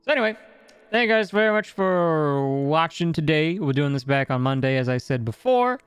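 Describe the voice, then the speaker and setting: A man's voice: fairly high pitch, lively intonation, moderate pace, clear, resonant tone, dry recording. A man wrapping up a tabletop game stream as game master, chatty, laughing often and stumbling over repeated words.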